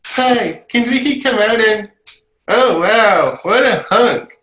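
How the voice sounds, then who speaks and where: Woman playing a slow, gushing, pushy neighbor girl. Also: on the high side, lively intonation, fairly bright tone. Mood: smitten. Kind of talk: amateur table read of a TV sitcom. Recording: echoey room over online call.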